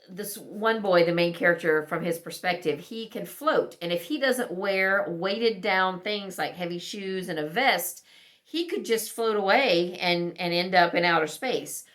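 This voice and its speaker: Lower-pitched woman chatting casually about books. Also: fairly bright tone. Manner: conversational, thinking aloud in halting asides. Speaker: book vlogger reviewing recent reads. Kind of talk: casual chat to camera.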